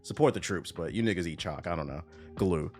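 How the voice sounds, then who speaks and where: A man's voice: sits low, a little fast, a little mellow, husky. A young man livestreaming, joking loosely through reaction commentary and muttering asides under his breath. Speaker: streamer. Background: faint background music.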